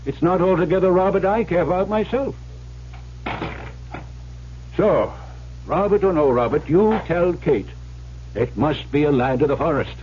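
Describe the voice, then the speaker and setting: High-voiced, swooping stubborn old father. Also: lively intonation, a little slow, pauses often. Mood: stubborn, grumbling. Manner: emphatic, grumbling, theatrical. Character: stubborn old forest-ranger father, blustering and domineering. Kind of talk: old-time radio drama acting. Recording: muffled, faintly noisy vintage radio recording.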